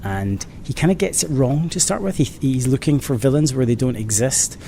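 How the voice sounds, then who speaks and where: A man's voice: expressive, normal speed, mellow. A man answering interview questions as a children's author, in a casual way with dry, playful humour. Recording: clear background noise, low rumble.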